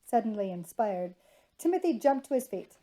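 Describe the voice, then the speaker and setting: A woman's voice: fairly high pitch, big pitch swings, moderate pace, dark tone, poor audio. A woman reading scripted fiction narration from a storybook.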